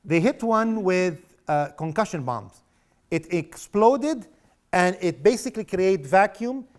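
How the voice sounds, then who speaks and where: A man's voice: high voice, lively intonation, slow pace. A middle-aged man from South Lebanon giving a public lecture in an impassioned, sarcastic way, with rhetorical questions to the audience. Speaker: guest speaker.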